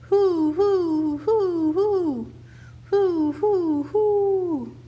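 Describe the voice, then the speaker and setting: A woman voicing the loud, drawn-out hooting calls of a great horned owl for a storybook. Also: extremely high pitch, lo-fi sound, voice pushed up, heavily acted. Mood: calling out. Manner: loud, carrying, wordless calling. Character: father calling like a great horned owl, and owl answering.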